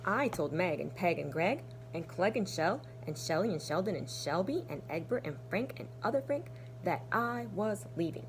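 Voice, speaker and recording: A woman teacher reading to young children in an expressive, deliberate storytelling manner. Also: fairly low pitch, a little hiss or hum, poor audio. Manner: animated, deliberate storytelling with hushed, breathy asides. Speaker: kindergarten teacher. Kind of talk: expressive children's storybook read-aloud with asides.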